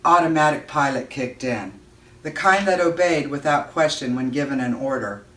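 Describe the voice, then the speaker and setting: A woman giving an expressive read-aloud of a children's novel, narrating as a storyteller. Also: a bass voice, moderate pace. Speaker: audiobook-style reader. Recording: roomy echo, distant microphone.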